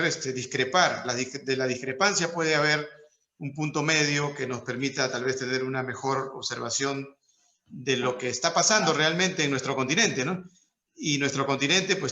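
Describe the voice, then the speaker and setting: A man's voice: mid-range voice, sharp tone. A male interview host who talks conversationally and hesitantly, with many fillers. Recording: roomy echo.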